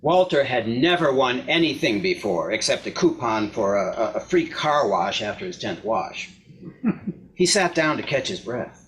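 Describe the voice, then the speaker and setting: A man's voice: mid-range voice, fairly bright tone, low-quality recording. A man narrating a scripted short story aloud as a reader in a readers theater. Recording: clear background noise, clicks.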